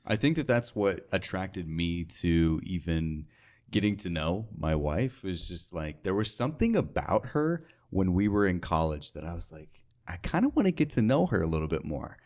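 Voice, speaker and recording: A man's voice: sits low, very animated, moderate pace, darkish tone. A young American man from North Dakota talking informally and earnestly as an interview guest, thinking aloud with plenty of "like". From North Dakota, United States. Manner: informal, reflective, earnest, with frequent "like". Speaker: interview guest, radio sales associate. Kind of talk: casual conversational interview.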